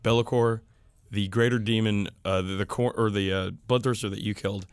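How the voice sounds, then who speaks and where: A man's voice: fairly low pitch, steady tone, fairly quick, clear, resonant tone, no room sound, clean studio sound. A man chatting casually as a podcast co-host, griping in a profane, exasperated way. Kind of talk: casual chat about tabletop wargaming.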